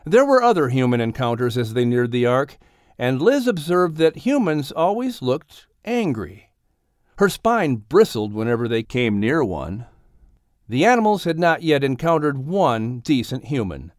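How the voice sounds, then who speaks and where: Man, audiobook narrator, children's story, expressive delivery, animated melody, medium pitch, very dry recording. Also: unhurried, dry recording.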